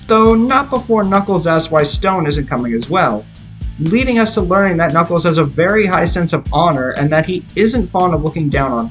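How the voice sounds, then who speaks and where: A man's voice: on the high side, a bit bright. A man reading a scripted film review in an opinionated, explanatory way. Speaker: video reviewer. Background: background music.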